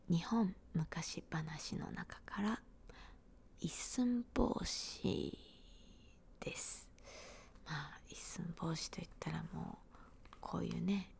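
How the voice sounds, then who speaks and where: A woman, giving a gentle, unhurried whispered ASMR storybook reading in a small room, close to a handheld recorder. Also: on the low side, vocal fry.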